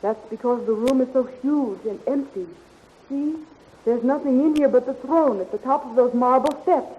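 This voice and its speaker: An actress playing a spirited, teasing young heroine in a 1930s radio adventure serial. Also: female voice, high-pitched, moderate pace, lightly acted. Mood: awed, teasing. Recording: muffled, hissy 1930s radio recording.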